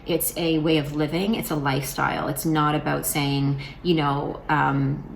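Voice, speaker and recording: Woman in her forties, deep gravelly voice, rambling chatty vlog talk, faint room hum behind. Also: little pitch movement, measured pace, rough recording. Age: 40s. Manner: chatty, rambling, with many fillers and restarts. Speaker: vlogger. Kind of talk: casual vlog talk to camera.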